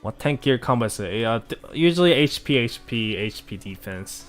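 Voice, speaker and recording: A man's voice: medium pitch, normal speed, faint music behind. A man streaming a game, chatting casually with viewers, rambling with many fillers and self-corrections. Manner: relaxed, opinionated about game units.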